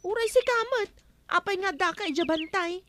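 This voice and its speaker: A woman's voice: extremely high pitch, moderate pace, a bit bright, slight background noise. A woman playing a distraught, lovesick young woman in a comedy drama, whining and plaintive. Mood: insistent, suspicious. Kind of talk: broadcast comedy drama acting.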